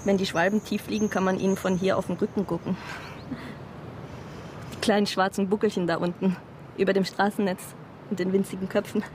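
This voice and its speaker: Actress doing disillusioned ex-radical woman, earnest, reflective, mid pitch, faint traffic hum, radio drama. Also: level tone, a little fast, long pauses, a little mellow, lightly acted. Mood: gentle.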